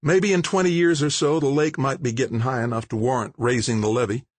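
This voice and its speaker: A man voicing a sharp, dismissive woman mayor who snaps curtly. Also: mid-range voice, fairly even intonation, brisk, no echo, voice pushed up, lightly acted. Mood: cold, dismissive. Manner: snappish, curt.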